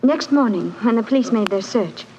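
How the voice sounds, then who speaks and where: An actress playing a distressed, earnest young governess, in 1940s radio drama style. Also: female voice, fairly high pitch, lively intonation, normal speed, mellow. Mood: anxious, earnest. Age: young adult. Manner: refined, earnest, anxious. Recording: muffled, noisy 1940s radio recording.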